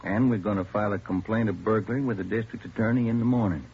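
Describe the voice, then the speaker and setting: An actor playing a steady low-voiced detective partner. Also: male voice, lightly acted. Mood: firm. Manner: firm, measured. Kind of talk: 1950s radio drama acting. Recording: dull old radio broadcast with faint hiss.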